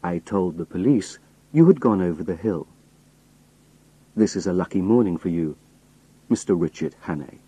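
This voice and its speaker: A man voicing a sinister old spy chief in a story, calm, polite and quietly menacing. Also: sits low, moderate pace, long pauses, slightly rough, rough recording. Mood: smug calm.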